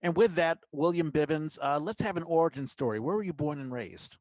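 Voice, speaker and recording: A man's voice: a little high, lively intonation, fairly quick, a little mellow, no room sound. A man hosting a conversational interview podcast, speaking emphatically and repeating phrases for stress. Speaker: podcast host.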